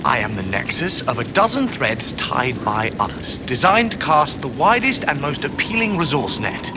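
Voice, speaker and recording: A man's voice: medium pitch, big pitch swings, moderate pace, background noise. A man voicing an earnest, dutiful official in a fantasy video game, speaking in formal, solemn phrases.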